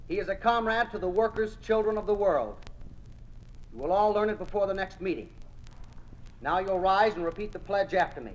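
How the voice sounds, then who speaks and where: A man's voice: high voice, natural voice, rough recording. A man playing a zealous, commanding communist youth leader, declamatory, in a 1950s radio drama. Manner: declamatory, authoritative, clipped orders. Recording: old radio recording with faint noise.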